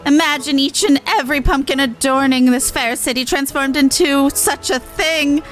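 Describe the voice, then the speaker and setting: A woman voicing a pompous, gleefully macabre demon showman from a game, with a grandiose, drawn-out delivery. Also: high-pitched, a bit bright, soft background music, pitched above the performer's own voice, rough recording. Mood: mock terror. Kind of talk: voiced reading of game dialogue.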